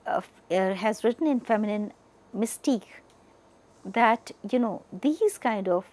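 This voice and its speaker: Female educator, Indian accent, mid-pitched with some vocal fry; deliberate scripted lecture delivery, emphatic openings to each point. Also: darkish tone. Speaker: media educator presenting a lecture. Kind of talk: scripted lecture narration over slides.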